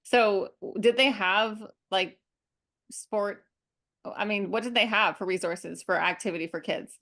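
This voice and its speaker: A woman co-hosting a podcast, asking questions casually and reacting warmly to the guest. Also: mid-range voice, a little slow. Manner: friendly, reactive, laughs along. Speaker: podcast co-host.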